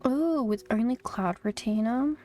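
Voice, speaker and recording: A woman's voice: a little high, measured pace, mellow, faint music behind. A woman game streamer giving casual live commentary as she plays.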